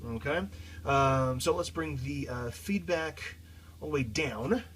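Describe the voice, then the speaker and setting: A man's voice: medium pitch, slow. A man talking informally through a guitar effects pedal demo, explaining casually and hands-on as he goes. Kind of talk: informal gear demo talk.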